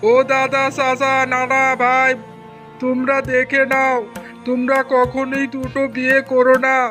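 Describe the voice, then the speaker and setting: A man's voice: very high voice, completely flat delivery, a little slow, pushed and bright, faint music behind, heavily acted. A man voicing an exasperated, put-upon village fisherman who complains and scolds in a Bengali fairy tale cartoon. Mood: wailing, self-pitying. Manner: complaining, scolding, plain rustic speech.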